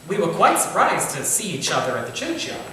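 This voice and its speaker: A man playing a courteous, eager Victorian gentleman writer with a genteel, theatrical manner in a staged play reading. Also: a little high, wildly swooping pitch, brisk. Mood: polite, eager. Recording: very echoey hall, distant mic, faint noise.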